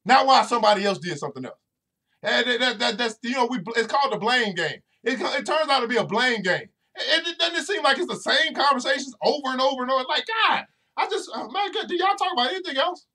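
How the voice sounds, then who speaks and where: A man's voice: extremely high pitch, lively intonation, fast talker, bright, forceful tone. A man hosting a motivational podcast, preaching to his listeners directly and repeating phrases over and over for emphasis. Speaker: financial educator and podcast host.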